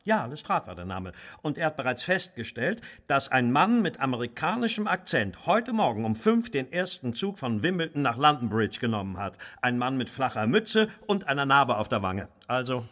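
A man playing an anxious, well-to-do Victorian gentleman, earnest and polite, in a German radio crime drama. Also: medium pitch, sing-song, normal speed, few pauses. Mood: troubled.

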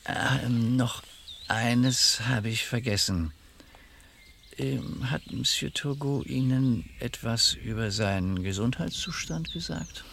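A man's voice: medium pitch, takes it slowly, creaky, a bit bright, slight background noise. An actor playing a courteous, probing male French police commissioner in a radio crime drama, with polite, hesitant, methodical questioning. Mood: businesslike. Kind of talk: 1970s German radio drama acting.

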